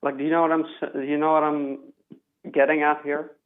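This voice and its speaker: A young man from Flanders in Belgium calling in to a talk show, speaking haltingly with many fillers and restarts. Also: fairly high pitch, flat delivery. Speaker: caller. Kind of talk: phone-in call. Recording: tinny phone line.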